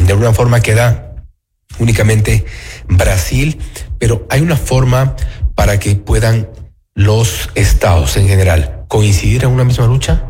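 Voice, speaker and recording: A man with an Ecuadorian accent interviewing a guest, halting with many fillers and restarts. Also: fairly low pitch, darkish tone, a little hiss or hum. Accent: Ecuadorian Spanish. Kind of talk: interview questioning.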